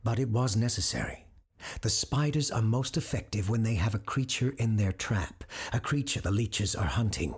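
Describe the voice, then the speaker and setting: An actor playing a clinical, self-assured radio host doctor who deals with the supernatural, in an audio drama. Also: male voice, fairly low pitch, expressive, moderate pace, husky. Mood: calm. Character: cold calculating male radio host doctor, supernatural expert.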